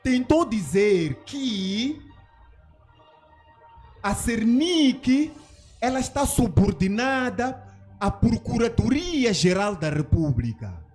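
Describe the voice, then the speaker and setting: A studio commentator giving a measured analysis, speaking deliberately and posing rhetorical questions. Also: mid-range voice, very animated, a little mellow, soft background music.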